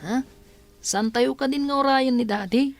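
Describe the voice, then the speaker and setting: High, wistful young child. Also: female voice, measured pace, no room sound, soft background music. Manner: plaintive, questioning. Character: young child missing father, wistful and questioning. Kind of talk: radio drama acting.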